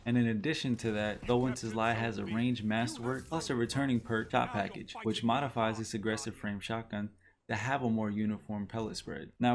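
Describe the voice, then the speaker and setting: A man hosting a gaming video, giving casual, explanatory guide commentary straight to his viewers. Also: on the low side. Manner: explanatory, addresses viewers directly.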